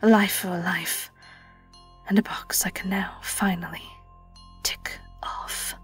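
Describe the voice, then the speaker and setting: A woman playing a sly, vengeful vampire in an audio roleplay, with a British accent, a breathy, often whispered voice and a taunting, theatrical delivery. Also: mid-range voice, fairly even intonation, takes it slowly, faint music behind, natural voice. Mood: hushed, bitter. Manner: taunting, theatrical, lingering on words. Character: vengeful young female vampire, sly, gloating and predatory. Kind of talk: dramatic audio roleplay monologue.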